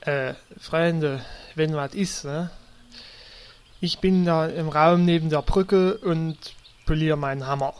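A man's voice: fairly high pitch, fairly even intonation, slow pace, clear, resonant tone. A man playing a naive, eager, awkward fantasy hero who speaks hesitantly in a comedy audio drama. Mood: sheepish.

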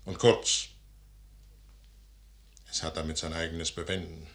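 An imperious, secretive warlord in a 1950s radio play. His voice is low and forceful, with a sharp edge. He declaims verse grandly, with deliberate, weighty emphasis. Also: flat delivery. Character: imperious, proud, secretive army commander who trusts the stars. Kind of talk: 1950s German radio drama acting, classical verse. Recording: 1955 radio studio recording.

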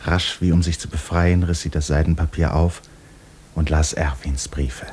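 A man narrating a literary radio drama in a grave, measured, restrained manner. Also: rumbling low, steady tone, a little mellow. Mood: sombre. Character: sombre male literary narrator. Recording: faintly noisy old radio recording.

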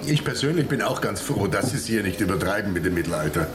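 A man's voice: fairly low pitch, animated, fast talker, over a noisy crowd, close to an everyday voice. An actor playing a chatty, complaining male tourist in a radio drama. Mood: jovial, chatty. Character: grumbling, convivial male tourist.

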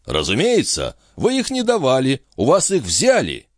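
A man's voice: mid-range voice, wildly swooping pitch, normal speed, no echo, clean studio sound, voice pushed up. A man voicing an overbearing, cool-headed viscount in a storybook reading, with a barking, insolent manner. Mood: cool, defiant. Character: brash, cool-headed, overbearing male viscount, quick to threaten.

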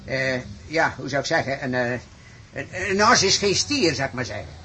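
A man's voice: medium pitch, animated, normal speed, bright, forceful tone, a little hiss or hum. An actor in a radio play playing an earnest, gullible farmer, blunt and hesitant in his folksy talk. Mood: earnest, awkward. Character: plain-spoken, earnest, gullible rich farmer.

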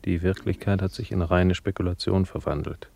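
An actor playing an ominous, fatalistic voice intoning solemnly in a radio play. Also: male voice, deep voice, fairly even intonation, normal speed, creaky, darkish tone. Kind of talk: German radio play acting.

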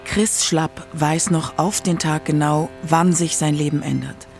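Gravelly, higher-pitched male documentary narrator. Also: steady tone, a little slow, soft background music. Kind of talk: scripted narration.

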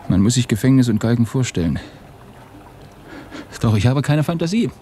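A man acting in a German radio drama as an ashamed, despairing Russian revolutionary, halting and confessional, often hushed. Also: medium pitch, lively intonation, slight background noise, natural voice. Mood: bitter resignation. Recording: studio radio play with music behind.

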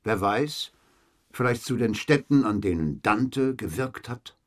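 An actor playing a persistent, sceptical investigator in a German radio play, polite, formal and dryly ironic. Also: male voice, medium pitch, animated, unhurried, lightly acted. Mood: polite, probing.

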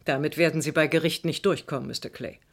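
An actress playing a proud, defensive wife in a vintage crime radio play, sharp-tongued and dramatic. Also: female voice, low-pitched, steady tone, fast talker, gravelly. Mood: cool, scornful. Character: proud, defensive wife shielding her husband.

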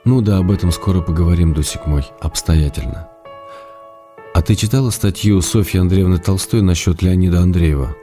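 A low, warm male voice over gentle music: an actor as a writer husband in a radio play of intimate letters. He is affectionate and self-restrained. His delivery is measured, with a wry edge. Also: close to an everyday voice.

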